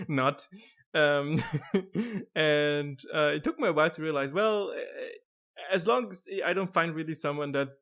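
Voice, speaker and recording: Give me a monotone, laughing host. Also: male voice, fairly high pitch, slow, long pauses. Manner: monotone, laughs often, many restarts. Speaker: podcast host. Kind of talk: casual video-call chat.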